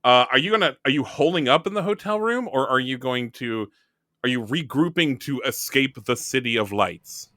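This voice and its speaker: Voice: medium pitch, animated, normal speed, fairly bright tone, no room sound, clean studio sound. Someone acting as game director in an improvised tabletop roleplaying session, chatting in a joking, theatrical way.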